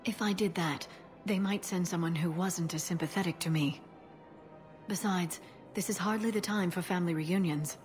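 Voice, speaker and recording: An actress playing a prim, earnest alien information broker, precise and easily exasperated, in a video game. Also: female voice, lowish voice, moderate pace, a little mellow, faint music behind, close to an everyday voice. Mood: composed, dry. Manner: precise, indignant, reserved.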